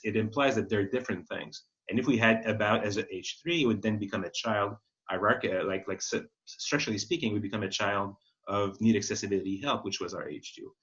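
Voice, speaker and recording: A man's voice: fairly low pitch, fairly bright tone. A man explains material as an expert webinar presenter, feeling his way through sentences and often rewording them. His voice comes through a slightly muffled, lo-fi online connection. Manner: halting, rephrases mid-sentence. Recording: slightly dull, lo-fi webinar audio.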